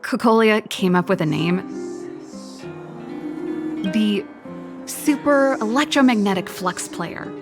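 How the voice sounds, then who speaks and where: An actress voicing a wistful, guarded woman inventor in a video game, speaking casually and haltingly. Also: mid-range voice, lively intonation. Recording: loud music over the voice. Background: music.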